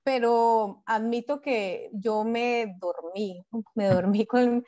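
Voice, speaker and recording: A woman's voice: on the high side, takes it slowly, mellow. A woman from Cali with a Colombian accent, speaking casually as a guest in a testimonial interview. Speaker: interview guest, former exam candidate. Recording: dull, lo-fi audio.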